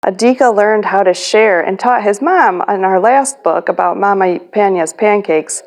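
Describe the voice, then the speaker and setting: A woman's voice: medium pitch, normal speed. A woman hosting a children's storytime as a librarian, reading a picture book aloud.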